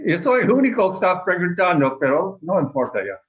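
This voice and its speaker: A man's voice: sits high, sing-song, fairly quick, muffled recording. A man in an online study group, speaking hesitantly in a language he calls himself a beginner in, often searching for words. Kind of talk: casual online group discussion. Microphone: video call.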